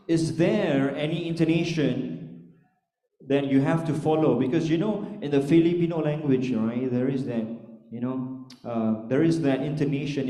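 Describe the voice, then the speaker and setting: A male host moderates audience questions, leaning on "uh" and "you know". His voice sits a touch high yet mellow, with a long reverb from a big room. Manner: filler-heavy, audience-addressing. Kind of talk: hosting a virtual event Q&A. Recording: roomy hall with long reverb.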